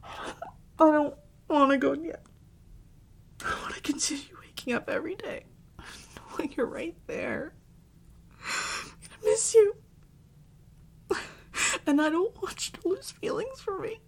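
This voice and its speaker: A woman playing an affectionate, clingy girlfriend in an intimate roleplay audio, speaking tenderly and haltingly and often slipping into a whisper. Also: mid-range voice, darkish tone. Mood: tearful, pleading. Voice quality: breathy, often dropping into a whisper. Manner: tender, slow, halting, soothing endearments. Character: affectionate young girlfriend, doting and clingy, tearful before parting. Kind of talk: intimate roleplay audio, soft ASMR-like acting.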